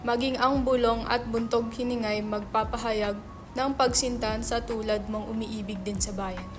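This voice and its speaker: A woman as high-voiced, theatrical young male writer. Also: fairly even intonation, normal speed, lightly acted. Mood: impassioned romantic recitation. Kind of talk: dramatic reading of a stage play. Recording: background music under the voice.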